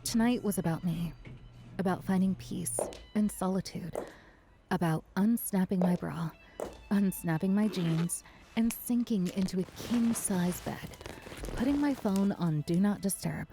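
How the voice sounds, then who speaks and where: A woman's voice: mid-range voice, a little slow, noisy background, natural voice. A woman playing a weary, self-conscious but defiant young woman in a first-person audio drama. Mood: weary, reflective.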